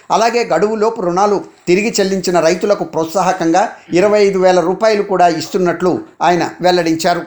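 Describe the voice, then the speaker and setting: A man's voice: sits high, darkish tone. A man reading a formal, scripted radio news bulletin as the newsreader.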